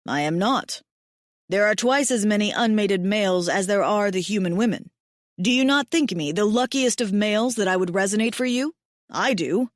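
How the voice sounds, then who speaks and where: A woman voicing a big, devoted alien male lover who speaks softly and formally. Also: medium pitch, expressive, moderate pace, no echo, clean studio sound, lightly acted. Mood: tender. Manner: soft, earnest, formal phrasing.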